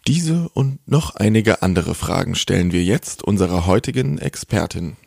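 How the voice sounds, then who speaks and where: A man's voice: deep voice, lively intonation, moderate pace, vocal fry, a little mellow, breathy edge, dry recording. A man hosting a podcast with scripted narration, chatty and fond of posing rhetorical questions.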